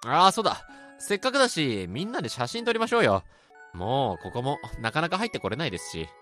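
Gentle, animated man in a radio drama, acted. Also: medium pitch, fast talker, faint music behind. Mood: cheerful. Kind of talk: amateur radio drama dialogue.

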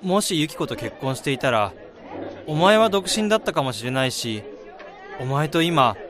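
An actor in a Japanese radio drama playing a kind-hearted, forgiving young best friend, speaking in an earnest, expressive way. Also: male voice, fairly high pitch, moderate pace, a crowd in the background. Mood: gentle, reflective.

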